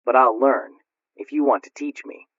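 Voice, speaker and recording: A man's voice: medium pitch, steady tone, moderate pace, no echo, lightly acted. A man playing a forlorn, earnest young suitor in a radio play, speaking in a formal, melodramatic manner. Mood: eager. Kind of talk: radio drama acting. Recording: dull, close, dry recording.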